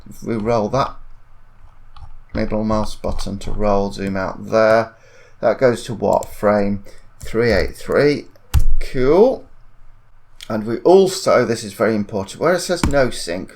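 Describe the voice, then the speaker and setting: A man giving an informal software tutorial, thinking aloud and correcting himself in a hesitant way. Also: lowish voice. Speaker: tutorial presenter.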